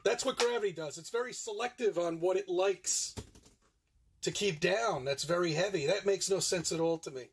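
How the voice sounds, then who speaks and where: A man's voice: high-pitched, normal speed, creaky, a little hiss or hum. An older man rambling sarcastically from inside his car, recorded on a phone camera, repeating himself as he goes. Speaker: flat earth video maker. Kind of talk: rambling monologue.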